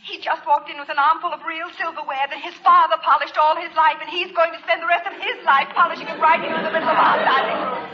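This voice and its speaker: An actress playing a frantic, exasperated middle-aged housewife in a 1950s radio sitcom, urgent and exclamatory, with an American accent. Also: female voice, very high voice, steady tone, fast, a faint crowd, a little hiss or hum. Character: frantic, exasperated housewife phoning her husband. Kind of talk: 1950s radio sitcom acting before an audience. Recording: dull, echoey old radio broadcast, audience.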